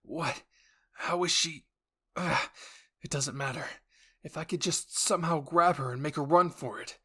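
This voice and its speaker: A man's voice: a little high, measured pace, fairly bright tone, breathy edge. A man playing a fearful young male Titan shifter in an anime roleplay, exclaiming frantically and panicking. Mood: alarmed. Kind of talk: anime fan roleplay acting.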